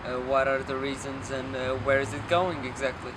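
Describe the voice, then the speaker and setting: Halting man musing over loud street noise. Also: mid-range voice, level tone. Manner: halting, searching, profane. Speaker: documentary film subject. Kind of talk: unscripted rambling philosophical musing. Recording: noisy outdoor street with traffic.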